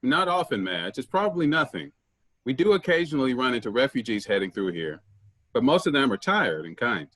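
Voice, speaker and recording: A man's voice: medium pitch, big pitch swings, brisk, a bit bright. A man playing an easygoing, helpful member of a travelling theater troupe in a staged play reading. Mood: reassuring.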